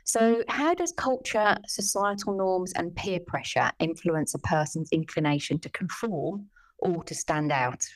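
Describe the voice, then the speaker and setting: A woman hosting a podcast, asking measured questions and affirming her guest's answers. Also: fairly low pitch, low-quality recording. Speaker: podcast host. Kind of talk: interview questions.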